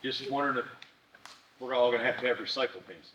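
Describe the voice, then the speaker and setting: A man's voice: medium pitch, fast talker, pushed and bright, slight background noise. A man at a public meeting asking a blunt, casual question. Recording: distant in echoey hall.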